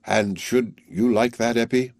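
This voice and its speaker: A man reading aloud, voicing an old, lonely village weaver who talks to his daughter in a slow, subdued, tender way. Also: medium pitch, steady tone, vocal fry, darkish tone, no room sound, clean studio sound.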